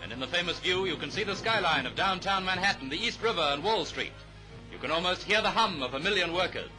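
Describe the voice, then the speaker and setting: A British man narrating a 1930s newsreel in a brisk, declamatory, jaunty announcer's manner. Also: high voice, animated, poor audio. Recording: vintage 1930s newsreel soundtrack, music underneath. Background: music.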